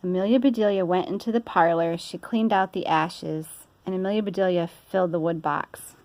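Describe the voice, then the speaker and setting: A woman's voice: fairly low pitch, steady tone, moderate pace, darkish tone, no room sound. A woman reading a children's story aloud in an informal way. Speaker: storybook reader.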